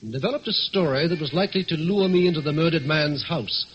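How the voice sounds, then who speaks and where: Through a muffled, faintly hissy radio recording comes a man playing a suave gentleman thief. His voice sits fairly high for a man. He speaks politely and persuasively, with quick wits and a composed air. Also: natural voice. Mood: thoughtful. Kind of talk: old radio drama acting.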